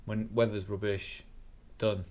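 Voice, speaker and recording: A man's voice: fairly low pitch, level tone, measured pace, a little mellow. A man in his late thirties, co-hosting a casual podcast from his garage workshop, talking in short clipped phrases. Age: 30s. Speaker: podcast co-host. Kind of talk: casual chat.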